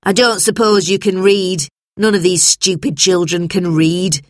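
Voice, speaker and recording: A woman's voice: mid-range voice, measured pace, no echo, studio quality. A woman acting a stern, bad-tempered schoolmistress, scolding. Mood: sharp, demanding. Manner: shouting, scolding, imperious. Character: large, stern, bad-tempered schoolmistress who bullies children. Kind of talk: children's storybook character voice.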